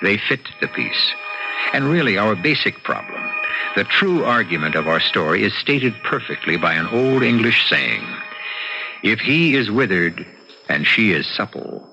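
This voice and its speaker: A man hosting and narrating an old-time radio mystery in a deliberate, erudite, dramatic manner. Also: lowish voice, sing-song, gravelly. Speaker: host and narrator. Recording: dull old radio sound with music.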